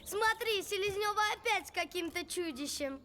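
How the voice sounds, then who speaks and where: A woman voicing a cheeky, excitable schoolchild who teases others, in an animated film. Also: extremely high pitch, moderate pace, slight background noise, heavily acted. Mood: mocking.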